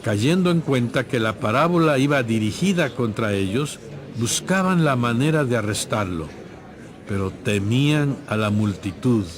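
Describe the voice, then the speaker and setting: A man's voice: mid-range voice, lively intonation, normal speed, crowd noise. A man reading scripted narration for a dramatized audio Bible. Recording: music playing behind.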